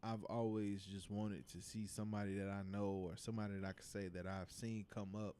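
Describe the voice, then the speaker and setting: A man's voice: on the low side, little pitch movement, brisk, warm tone, a little hiss or hum. Southern man hosting casual talk. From South Carolina, United States. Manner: slangy, swearing freely, leading the discussion with questions. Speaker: livestream talk show host. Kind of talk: casual podcast discussion.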